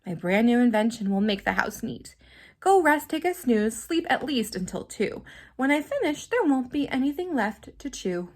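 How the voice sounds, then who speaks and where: A woman's voice: fairly high pitch, big pitch swings, normal speed. A woman voicing a confident, reassuring young boy inventor in a children's storybook. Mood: eager, reassuring.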